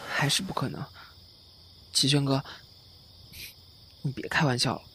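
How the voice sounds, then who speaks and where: A man's voice: medium pitch, steady tone, unhurried, a bit bright, a little hiss or hum, lightly acted. A man acting a shy, hesitant young man. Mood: reluctant. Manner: hesitant, deferential. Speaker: audio drama voice actor. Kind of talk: Chinese audio drama acting, soft dialogue.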